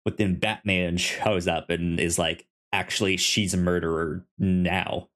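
Halting, stammering man in casual chat. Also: low voice, flat delivery, a bit bright. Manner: halting, stammers and repeats syllables and words. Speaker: podcast co-host. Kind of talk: casual chat about comic books.

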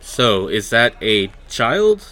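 Man playing a blunt, slow-spoken giant tundra warrior. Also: mid-range voice, very animated, sharp tone, heavily acted. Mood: suspicious. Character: huge Goliath man from the tundra, blunt, wary.

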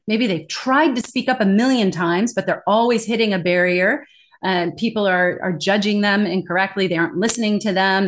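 A woman of middle pitch speaks as an interview guest, explaining her ideas with animated emphasis. She laughs easily and often as she talks. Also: a little hiss or hum. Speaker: interview guest, corporate communication trainer. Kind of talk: conversational interview.

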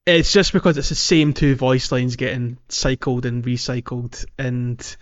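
A man's voice: mid-range voice, unhurried. A man with a mid-range voice co-hosts a casual gaming podcast. He talks in a jokey, chuckling way. The recording is dull and slightly lo-fi. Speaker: podcast host.

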